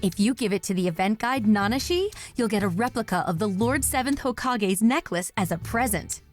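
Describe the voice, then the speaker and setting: A woman's voice: medium pitch, lively intonation, a little fast, soft background music, natural voice. A woman voicing a cheerful, polite event receptionist in an English anime game dub.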